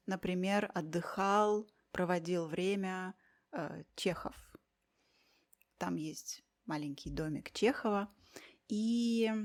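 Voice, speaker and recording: A woman from Russia talking as a guest in a conversation for learners, speaking unhurriedly and clearly with soft thoughtful fillers and pauses. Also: on the low side.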